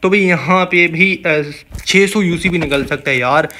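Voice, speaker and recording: A man's voice: on the high side, measured pace, sharp tone, slight background noise. A man with a Pakistani accent giving a casual, informal voice-over walkthrough as a gaming channel host, chatting to viewers like brothers.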